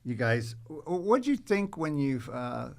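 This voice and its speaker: A man's voice: a little high, sing-song, unhurried, gravelly, darkish tone. A man, an actor guest on a podcast, chatting with hesitant restarts. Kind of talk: casual chat.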